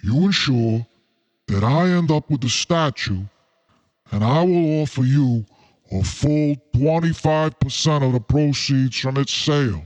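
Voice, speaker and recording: A suave crime boss from a noir radio play, acted by a man. He speaks in a formal, genteel way at a middling pitch, with a faint menace under the courtesy. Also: a little slow, close to an everyday voice. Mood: bargaining. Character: suave scheming crime boss Fat Cat, noir villain.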